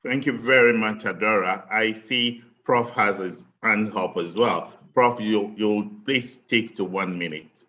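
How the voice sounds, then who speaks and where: A man moderates briskly and politely, keeping everyone to time. His low, bright voice comes through dull lo-fi call audio.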